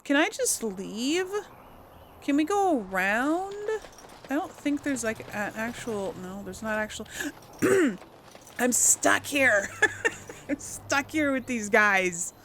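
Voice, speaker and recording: Higher-voiced woman gamer, lively pitch. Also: slow, long pauses, a little hiss or hum. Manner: informal thinking aloud to viewers. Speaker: video game let's player. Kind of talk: casual gameplay commentary.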